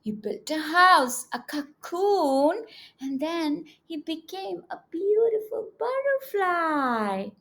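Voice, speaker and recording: A woman reading a story aloud to young children in an animated, teacherly way, often prompting them with questions. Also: very high voice, slow.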